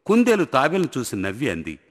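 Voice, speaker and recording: పిల్లల కథ చెప్పే హావభావాల పురుష గొంతు. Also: male voice, mid-range voice, wildly swooping pitch, fairly quick. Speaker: storyteller narrator. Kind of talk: scripted children's story narration. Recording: long hall reverb, faint music.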